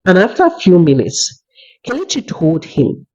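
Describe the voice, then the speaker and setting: A narrator telling a scripted folktale in a measured way, as a storyteller. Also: mid-range voice, wildly swooping pitch, darkish tone. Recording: dull lo-fi recording.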